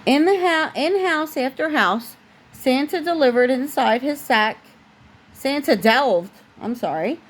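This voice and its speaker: Female storybook reader, parent type, voice a bit high. Expressive narration broken by offhand, chatty asides. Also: a little slow. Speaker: parent reading a bedtime story. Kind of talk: storybook read-aloud with casual asides.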